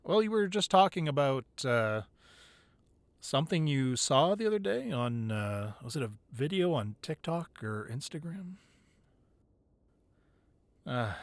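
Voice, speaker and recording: A man playing a tough gang leader boyfriend who is teasing and tender in an intimate ASMR roleplay, with playful grumbling and soft, hesitant asides. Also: mid-range voice, sing-song, unhurried, pauses often, dry recording. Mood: distracted, apologetic. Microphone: close microphone, dry.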